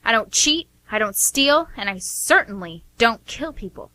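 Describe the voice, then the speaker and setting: An actress playing a defiant, indignant sister in a radio drama, protesting sharply. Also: female voice, fairly high pitch, lively intonation, a little slow, pushed and bright, dry recording, low-quality recording. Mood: indignant, proud. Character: defiant, indignant younger woman, bullied sister. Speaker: actress in a radio play, also reading stage directions. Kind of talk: amateur radio drama acting.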